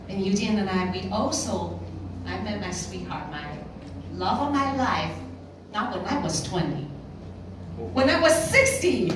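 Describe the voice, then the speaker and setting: Taiwanese woman in her sixties, very echoey room. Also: medium pitch. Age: 60s. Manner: conversational, often checks with listeners using questions. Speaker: motivational speaker at a direct-sales event. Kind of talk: motivational talk to a live audience. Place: indoor meeting room with a live audience. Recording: echoey room, distant mic, clear noise.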